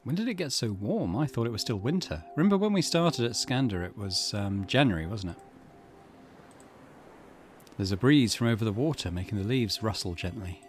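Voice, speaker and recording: A man's voice: fairly low pitch, big pitch swings, normal speed, soft background music. A man hosting a gaming channel, giving casual, musing commentary and reading game text aloud.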